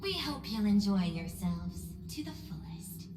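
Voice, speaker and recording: A woman playing a poised, shrewd corporate executive in a video game, speaking in a formal, diplomatic, measured way. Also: medium pitch, very animated. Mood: composed, welcoming. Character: poised, courteous, shrewd female corporate executive and planetary governor. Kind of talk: video game story voice acting. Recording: roomy long reverb, music behind.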